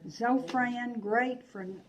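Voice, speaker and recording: A woman instructor lecturing a class, thinking aloud with frequent pauses and restarts. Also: a little high, fairly even intonation, dull audio. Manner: unhurried, thinking aloud, hesitant. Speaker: nursing instructor.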